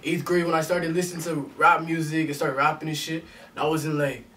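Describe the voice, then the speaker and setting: A young man with a somewhat high voice talks easily in street slang, dropping "you know" after nearly every point. He is picked up from a little distance, so the room comes through faintly with a soft hum behind him. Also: level tone, fairly quick. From Detroit, raised in Durham Region, Ontario. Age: young adult. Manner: laid-back street slang, frequent "you know what I'm saying" tags. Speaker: rapper guest. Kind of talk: casual interview chat. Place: small studio room, seated on a couch.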